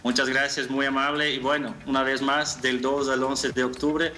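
Bright-voiced man in his thirties explaining. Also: mid-range voice, little pitch movement, normal speed, faint music behind. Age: 30s. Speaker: marketing manager, interview guest. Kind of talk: informal explanatory interview answers. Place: indoor room, on a video call. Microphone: video call.

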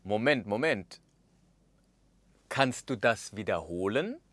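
A man in his thirties presenting a language course in a studio, speaking with expressive gestures and acting out the example phrases. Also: lowish voice, takes it slowly, dry recording. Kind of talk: scripted lesson narration. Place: studio with orange backdrop.

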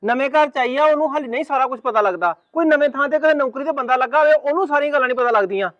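A man's voice: very high-pitched, very fast. A man playing a young husband and son, reasoning and lecturing sternly. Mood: soothing. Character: young husband and son, torn between mother and wife. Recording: muffled lo-fi.

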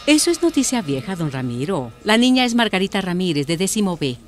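A Colombian woman playing a calm, principled schoolteacher in a radio soap. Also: on the low side, fairly quick, faint music behind. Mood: measured, defensive.

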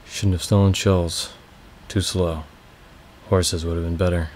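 A man voicing a weary, bitter young male slave who answers in short, curt phrases, with a raspy voice. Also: low-pitched, flat delivery, a little slow, darkish tone, slight background noise.

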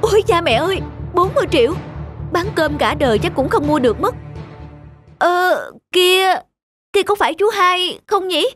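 A woman's voice: extremely high pitch, animated, normal speed, music in the background. A woman voicing a thrifty, sarcastic housewife who complains sharply in a cartoon. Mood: astonished.

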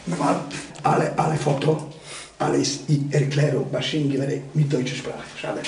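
A man's voice: medium pitch, fairly even intonation, moderate pace, creaky, darkish tone. A man who is not a native German speaker, working as a tour guide and talking in short, choppy phrases with repeated commands. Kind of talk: improvised guided tour talk in broken German. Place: inside an underground concrete bunker. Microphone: visitor's handheld camera, at a distance. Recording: echoey, noisy, distant handheld recording.

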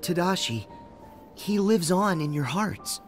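Eager teenage hero boy, kind, wholehearted, very varied pitch, video game, music behind. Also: animated, slow. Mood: gentle, sincere. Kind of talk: animated video game cutscene acting.

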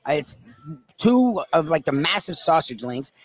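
A man's voice: on the high side, big pitch swings, a little slow. A middle-aged man co-hosting in casual conversation at a cafe table on a headset mic. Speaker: co-host. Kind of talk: casual chat. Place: busy cafe table, indoors.

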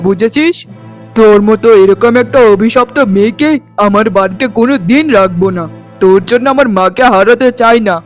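A man's voice: sits high, close to an everyday voice. A man playing a harsh, angry husband in a melodramatic cartoon, with a scolding, contemptuous delivery.

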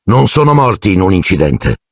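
A man's voice: deep voice, big pitch swings, moderate pace, breathy edge, no echo, clean studio sound, heavily acted. A man voicing an angry, defiant teenage boy who talks back while breathing hard, in an audiobook.

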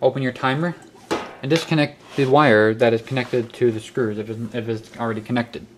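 A man's voice: mid-range voice, steady tone, a little fast. Male how-to host, mid-range voice, practical and instructional, recorded on a handheld camera in a workshop with some noise. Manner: instructional, step-by-step. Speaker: host of a hobby electronics how-to video. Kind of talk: casual hands-on tutorial narration. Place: cluttered indoor workshop or utility room. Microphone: handheld camera microphone. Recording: clicks and clear background noise, handheld camera.

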